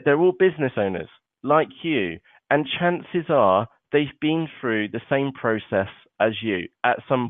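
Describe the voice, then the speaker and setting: A man's voice: mid-range voice, dry recording. A man hosting an online seminar, chatty and casual, often saying "okay" between points. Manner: chatty, peppers talk with "okay" and "uh". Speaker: webinar host. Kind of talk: live online seminar walkthrough. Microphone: web conference microphone. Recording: tinny phone-like web call audio.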